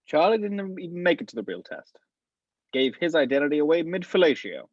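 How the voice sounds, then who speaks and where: A composed middle-aged gentleman spy, read by a man in a table read, measured and calm. His voice has an average pitch. It comes through a dry, slightly dull home microphone. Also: no room sound. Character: polished middle-aged male spy mentor. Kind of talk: amateur table read over video call.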